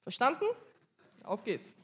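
A woman interpreting a pastor's sermon live in church, quick and casual, with small slips and restarts. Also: slight background noise.

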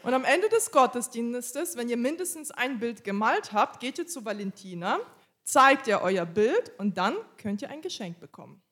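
A woman interpreting a pastor's sermon live in church, quick and casual, with small slips and restarts. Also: fairly high pitch, lively intonation, fairly bright tone.

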